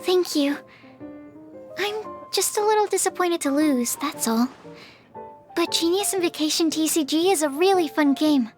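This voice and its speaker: High-voiced, earnest young female alchemist. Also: animated, normal speed, soft background music. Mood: sheepish, friendly. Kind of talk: video game character dialogue.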